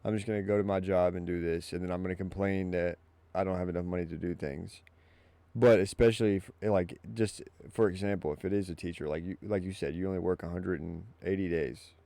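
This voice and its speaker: A man's voice: sits low, fairly even intonation, brisk, dark tone, no echo. A man in his twenties hosting a podcast, talking informally with lots of "like" and "you know what I mean". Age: 20s. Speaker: podcast host. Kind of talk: casual podcast chat.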